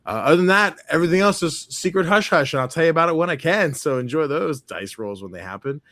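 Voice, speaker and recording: A man's voice: on the high side, fast talker, no room sound, studio quality. A man, a player in an actual play game, casually signing off and plugging his projects with lots of "uh"s. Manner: relaxed, filler-heavy plugging. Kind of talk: casual show sign-off.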